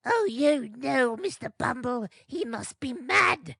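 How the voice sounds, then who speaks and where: A fretful, scolding wife from an old storybook. The voice is high and swoops dramatically, with drawn-out, exclamatory delivery. It sounds dry and close. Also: male voice, lively intonation, creaky, sharp tone, breathy edge, no room sound, full character voice. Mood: flustered, alarmed. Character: shrill, flustered, scolding undertaker's wife.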